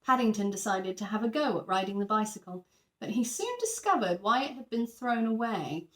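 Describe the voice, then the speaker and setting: Female storyteller for young children, expressive and lively in pitch, emphatic on key words, echoey room sound. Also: fairly quick. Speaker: teacher reading a children's picture book aloud. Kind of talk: expressive scripted story narration.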